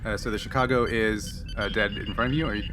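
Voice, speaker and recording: A man narrating a tabletop game as game master, halting and thinking aloud as he improvises. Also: lowish voice, steady tone, over music.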